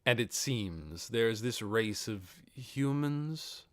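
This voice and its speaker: A man voicing a commanding undead overlord in an audiobook, explaining things in a measured, deliberate way. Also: mid-range voice, animated, fairly bright tone, no echo, studio quality. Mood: serious, explaining.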